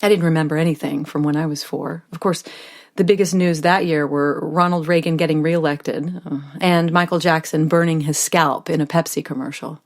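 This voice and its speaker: An American woman playing a stressed, devoted mother of a small daughter in a first-person audio drama, speaking in a confiding, expressive way that moves between tenderness and exasperation. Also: sits low, moderate pace. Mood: reflective, wry.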